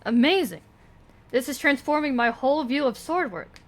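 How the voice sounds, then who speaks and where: High-voiced woman streaming a game. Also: lively intonation, normal speed. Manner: swearing, sarcastic asides. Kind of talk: casual livestream commentary, reading dialogue aloud. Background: video game music.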